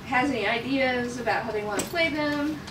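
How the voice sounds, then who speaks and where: A woman's voice: a little high, fairly quick. A woman directing a cast table read, talking casually with the group. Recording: echoey, very noisy room, distant mic.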